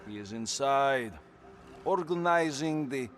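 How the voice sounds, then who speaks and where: A man's voice: medium pitch, expressive, slow, a little hiss or hum. An actor playing an anxious, overwhelmed male soldier in a video game. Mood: dismayed, flustered.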